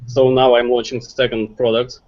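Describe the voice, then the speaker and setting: A man's voice: medium pitch, level tone, a little fast, darkish tone, muffled recording. A man from Russia with a Russian accent, earnestly giving marketing advice as a guest in an online interview. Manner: earnest, advice-giving, some grammar slips.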